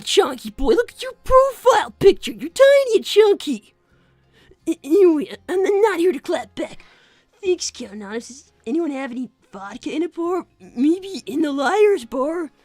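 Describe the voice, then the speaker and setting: A woman's voice: very high-pitched, very animated, moderate pace, darkish tone, dry recording, studio quality, faint music behind. A woman voicing an anxious, earnest young boy fox who stammers defensively on a character livestream. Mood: flustered, defensive. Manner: stammering, flustered. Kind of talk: improvised character livestream with donation reading.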